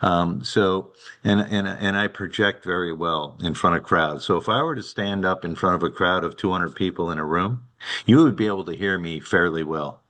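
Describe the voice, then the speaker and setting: A man with a very deep voice, a network representative presenting to a city commission, halting and full of fillers and restarts. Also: a bit bright. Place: public meeting room.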